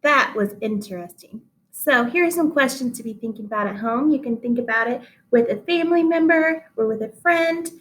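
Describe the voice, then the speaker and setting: A woman with a high voice reads a children's story aloud. She tells it expressively, placing deliberate pauses and emphasis as a teacher would for pupils. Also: rough recording.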